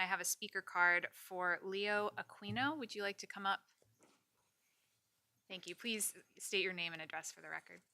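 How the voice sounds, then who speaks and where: A woman chairing a commission meeting, running procedure in a brisk, orderly way. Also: medium pitch, sharp tone.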